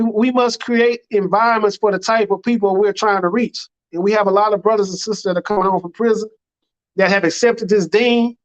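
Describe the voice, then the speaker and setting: An American man speaking as an imam, giving an earnest, plainspoken religious address that leans on repeated phrases. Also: high-pitched. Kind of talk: sermon, religious public address. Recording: dull, lo-fi recording.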